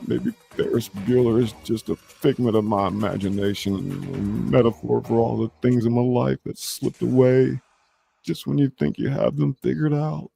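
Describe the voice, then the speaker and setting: A man's voice: mid-range voice, fairly even intonation, moderate pace, creaky, warm tone, faint music behind. A man playing a melodramatic, exasperated school official in a comedic script reading, sputtering with wounded outrage. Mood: defeated. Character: exasperated school official hunting truant Ferris, wounded and theatrical.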